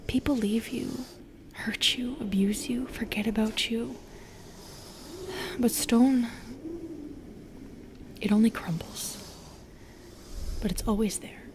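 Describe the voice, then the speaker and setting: A woman playing a lonely, wounded and wary Medusa in an intimate audio roleplay, speaking hesitantly and softly, often dropping into a whisper. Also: mid-range voice, slight background noise, close to an everyday voice. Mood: hurt, wistful. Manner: hesitant, halting, soft and breathy.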